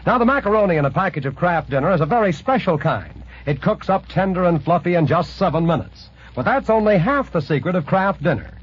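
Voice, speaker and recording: An American man working as a radio announcer, delivering a scripted 1940s advertising pitch. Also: lively intonation, brisk, hardly any pauses, slightly rough. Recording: muffled vintage radio recording.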